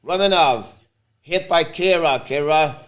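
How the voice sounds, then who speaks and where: A man with a Quebec French accent, French his first language, calls the game and chats with viewers on a casual live stream. His voice sits a bit high for a man. He breaks into loud excited outbursts. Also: a little slow. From Montreal, Canada. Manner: talks straight to viewers. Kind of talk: casual live play-by-play and chat.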